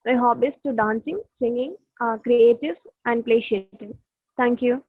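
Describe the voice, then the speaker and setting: A woman introducing herself as a student, eager and rushed, on tinny audio. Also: a little high, fairly even intonation, breathy edge, no echo.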